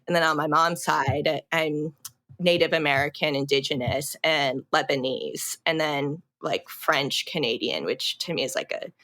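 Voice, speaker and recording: A young adult woman, a podcast guest, telling her own story in a halting, reflective way with many fillers and restarts. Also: deep voice, fairly bright tone. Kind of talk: personal storytelling in a podcast interview.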